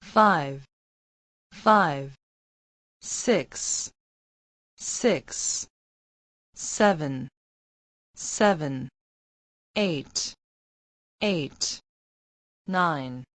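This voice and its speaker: Very slow, deliberate female language model. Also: low voice, animated, a bit bright, dry recording. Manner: deliberate, careful enunciation. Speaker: English pronunciation model. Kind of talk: scripted language-drill reading.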